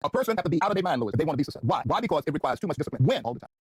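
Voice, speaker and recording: A Houston-raised drum teacher preaches in a fast, slightly high, husky voice. He hammers his points with repeated rhetorical questions. The sound is close and dry. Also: very animated, darkish tone, no room sound. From United States (Houston, Texas). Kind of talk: interview talk.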